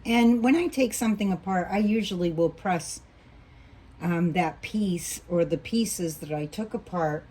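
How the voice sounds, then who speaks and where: A woman teaching a quilting block as she sews, patient and thinking aloud, a little hoarse as she loses her voice. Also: on the low side, a little slow, warm tone. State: losing her voice, coughing. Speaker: quilting instructor. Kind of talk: relaxed hands-on sewing tutorial.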